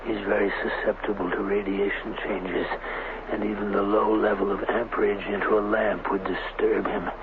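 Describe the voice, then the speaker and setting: An actor playing a proud, obsessive middle-aged scientist in a radio drama, speaking in a deliberate, lecturing and coolly clinical manner. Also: male voice, lowish voice, level tone, close to an everyday voice. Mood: hushed, intent. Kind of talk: 1970s radio drama acting. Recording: muffled, hissy old radio broadcast.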